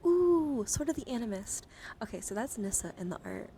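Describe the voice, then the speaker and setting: A woman hosting an ASMR livestream, speaking softly and casually at a close microphone in an unhurried show-and-tell style with murmured, whispery asides. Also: mid-range voice, lively intonation, slight background noise. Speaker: livestream host. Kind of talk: soft-spoken ASMR card show-and-tell.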